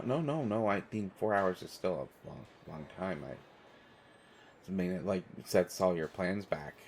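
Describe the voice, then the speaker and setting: Man acting as stressed male traveler, low pitch, hesitant, self-deprecating, rambling, audio roleplay, noisy ambient background. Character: stressed, self-deprecating, observant male stranger waiting at airport. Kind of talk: one-sided conversational audio roleplay.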